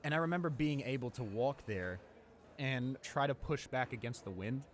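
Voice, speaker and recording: A man playing a friendly, awkward, talkative high school student in a casual audio roleplay, speaking in a hesitant, rambling, informal way. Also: normal speed, slight background noise, close to an everyday voice. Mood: chatty, enthusiastic.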